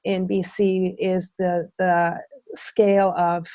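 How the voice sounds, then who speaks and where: A woman's voice: lowish voice, level tone, takes it slowly, mellow, dry recording. A woman presenter answering audience questions in a webinar, speaking with many ums, uhs and restarts.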